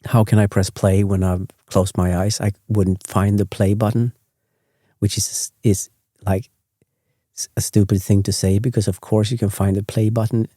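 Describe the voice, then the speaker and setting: A man from Sweden who speaks English with a Swedish accent as a second language, hosting a sleep podcast in a rambling, self-correcting, gently chatty way. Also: lowish voice, level tone, normal speed, no room sound, studio quality. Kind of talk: meandering bedtime talk.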